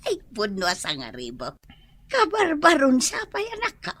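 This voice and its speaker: Radio comedy role, female actor: elderly Ilocano mother, high pitch, complaining, wheedling schemer with theatrical flair. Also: wildly swooping pitch, slow, pushed and bright, exaggerated voice. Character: whiny, scheming elderly mother feigning dizziness for money.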